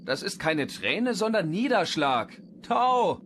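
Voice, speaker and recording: A young cartoon race car, boyish n confident, bright voice, jumps all over in pitch. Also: male voice, sing-song, slow, slight background noise, full character voice. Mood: defensive. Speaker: voice actor in German dub. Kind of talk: animated cartoon dialogue.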